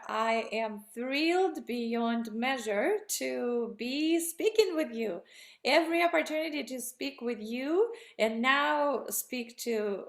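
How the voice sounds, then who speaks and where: A woman in her thirties, originally from Russia, answering interview questions in a rambling, enthusiastic way with frequent restarts. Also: a little high. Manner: rambling, self-correcting, enthusiastic. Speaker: guest. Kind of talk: conversational interview.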